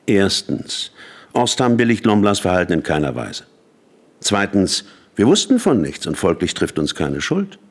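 Imperious boss with swooping intonation. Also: male voice, low-pitched, very animated, unhurried, breathy edge, full character voice. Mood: cold, commanding. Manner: commanding, pointed, emphatic. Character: imperious male company boss, cold and condescending. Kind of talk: radio drama acting.

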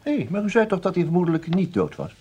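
A man's voice: sits high, big pitch swings, quick pace, darkish tone, breathy edge, lo-fi sound, heavily acted. An actor playing a chatty police detective in a radio play, politely bashful and hesitant while he pries, repeating himself. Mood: apologetic, probing. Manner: hesitant, repetitive, disarmingly polite. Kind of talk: radio drama acting.

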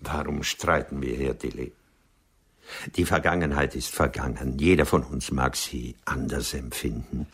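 An actor playing an ageing, urbane womanising writer in a German radio play, speaking in a rough, husky voice with dry, courtly irony. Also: a bass voice, fairly even intonation, a little slow, a bit bright, heavily acted. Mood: conciliatory, wistful. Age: older.

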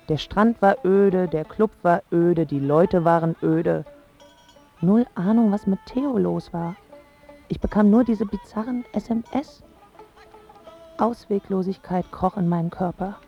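A woman's voice: fairly low pitch, highs cut off. An actress playing a lovesick, bewildered young woman who narrates her story plaintively and emphatically in a radio drama.

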